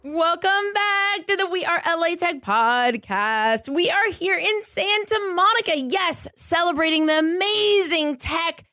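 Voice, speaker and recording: A woman's voice: very high-pitched, expressive, unhurried, few pauses, bright, forceful tone, no echo, studio quality, faint music behind. A woman hosting a podcast in an upbeat, effusive and emphatic way as she interviews a guest. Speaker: podcast host. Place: small indoor studio space.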